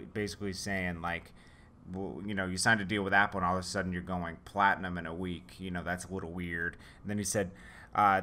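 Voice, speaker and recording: A man's voice: fairly low pitch, sharp tone. A young man hosting a casual commentary show, rambling with many fillers and restarts. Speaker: news commentary vlogger.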